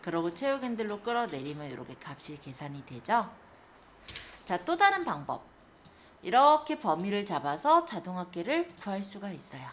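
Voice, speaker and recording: A woman instructor giving a polite, step-by-step spreadsheet software tutorial, guiding listeners through each action. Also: medium pitch, sing-song, moderate pace, slight background noise. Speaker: computer skills instructor.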